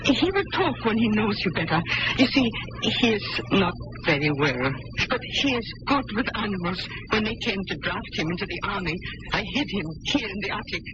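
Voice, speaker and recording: A woman's voice: mid-range voice, very animated, normal speed, natural voice. A woman playing a brave, kind young German farm woman who shelters fugitives, in an old-time radio drama, speaking earnestly. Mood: earnest, calm. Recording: dull, very noisy radio drama recording.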